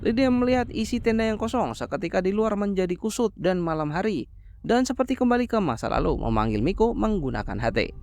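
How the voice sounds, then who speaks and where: A man's voice: high voice, sing-song, a little fast, a little mellow. A man narrating a horror film plot as a scripted story recap, explaining as he goes in informal wording.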